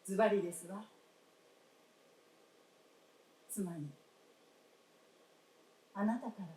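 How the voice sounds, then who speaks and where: A woman playing a frank, gentle wife in a radio drama, speaking in polite, old-fashioned feminine phrasing. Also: medium pitch, steady tone, normal speed, lightly acted. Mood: wistful. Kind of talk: staged radio drama acting. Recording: roomy stage, distant mic, faint noise.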